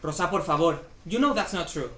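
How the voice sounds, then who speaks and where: A woman's voice: on the low side, animated, fairly quick, close to an everyday voice. A woman acting a proud, stubborn Cuban husband in a dramatic play reading, emphatic and domineering, with Spanish endearments mixed in. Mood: firm. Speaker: student actor. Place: indoor room, live play reading. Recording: echoey room with faint background noise.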